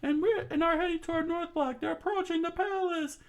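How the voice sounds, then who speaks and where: Frantic young guard, squeaky falsetto, as a man puts him on, urgent and breathless. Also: fairly even intonation, fairly quick. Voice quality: strained high falsetto. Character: panicked young male palace guard soldier.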